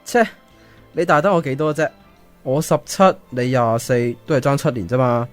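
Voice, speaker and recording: A man's voice: mid-range voice, moderate pace, faint music behind, natural voice. A man acting in a Cantonese radio drama as a cocky, impulsive seventeen-year-old schoolboy with a Hong Kong accent.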